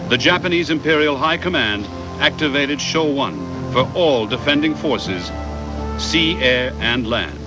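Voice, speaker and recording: A man reading scripted historical documentary narration in a measured, formal way with deliberate pauses, on a VHS transfer. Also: mid-range voice, animated, over music, poor audio.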